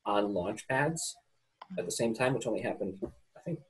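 A man in his thirties, co-hosting a podcast from home, telling stories in a lively way. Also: lowish voice, normal speed, low-quality recording. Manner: animated storytelling. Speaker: podcast co-host. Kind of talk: casual chat on a video call. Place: room at home.

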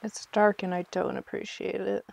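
A woman's voice: mid-range voice, flat delivery, normal speed, creaky. A woman giving casual live game commentary as a streamer, chatty and self-deprecating in her manner.

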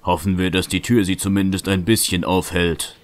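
A man's voice: low voice, little pitch movement, moderate pace, no room sound, natural voice. A man playing a bold young would-be knight in a fantasy fan audio drama, resolute and declamatory. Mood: urgent.

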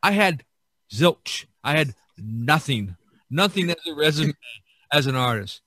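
A man's voice: a little high, big pitch swings, measured pace, bright, forceful tone, no room sound. A man hosting a podcast in a casual, conversational way. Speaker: podcast host. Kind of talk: casual chat.

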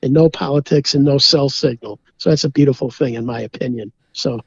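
A man's voice: medium pitch, a little fast, vocal fry, husky, no echo, highs cut off. A man from Minnesota, a beer brewer, chatting easygoingly as a podcast guest and filling his talk with "you know". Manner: rambling, easygoing, many "you know" fillers. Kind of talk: casual interview chat.

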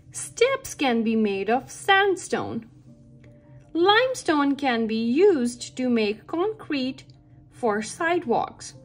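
A woman reading a nonfiction picture book aloud for children, with careful, deliberate and expressive phrasing. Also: on the high side. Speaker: read-aloud narrator for children. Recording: background music under the voice. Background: music.

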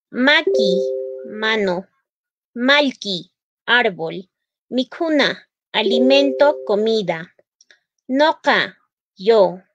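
A woman student reads a word list aloud, loud and very slow, word after word. Her voice sits a little high, and the recording is dry and lo-fi. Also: no room sound. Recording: dry, dull lo-fi audio.

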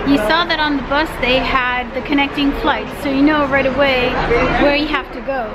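High-voiced woman vlogging through a station. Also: fairly even intonation, moderate pace, a loud crowd. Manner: chatty, pointing out directions as she walks. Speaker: travel vlogger. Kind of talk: casual walking travel vlog. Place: busy airport terminal and train station, indoors. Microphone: handheld camera at arm's length. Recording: loud background station noise. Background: loud station and train noise.

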